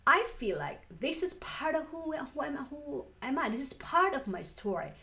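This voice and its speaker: A woman from the Philippines with a Filipino accent, teaching an online course lesson in an earnest way, with frequent restarts and soft laughs. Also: high-pitched, big pitch swings, normal speed, vocal fry. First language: Filipino language. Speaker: online business coach.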